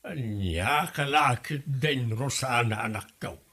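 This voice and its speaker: A man acting as a placid older father who talks very slowly in a bright, forward voice of middle pitch. He has an Ilocano Filipino accent and sounds like part of a comedy radio drama. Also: husky, full character voice. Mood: placating. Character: placid older father, excusing his son.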